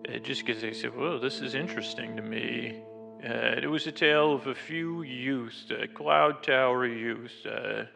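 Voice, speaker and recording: A man with a creaky voice telling a whimsical, improvised bedtime story in a meandering, halting way. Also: medium pitch. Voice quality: gravelly, creaky. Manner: meandering, halting, whimsical, with stumbles and self-corrections. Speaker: podcast host and bedtime storyteller. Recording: long reverb, loud music over voice. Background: loud music.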